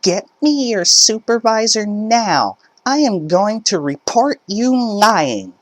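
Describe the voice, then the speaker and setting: A man voicing a shrill, demanding entitled middle-aged mother who argues with a store cashier. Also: big pitch swings, slow. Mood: screaming. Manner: indignant, demanding, shouting.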